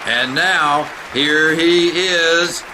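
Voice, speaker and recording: A man's voice: on the high side, extremely slow. Guy announcer doing a big talk show intro, drawn out names, noisy live hall. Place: large event hall. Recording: live hall, loud crowd and noise. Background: crowd and noise.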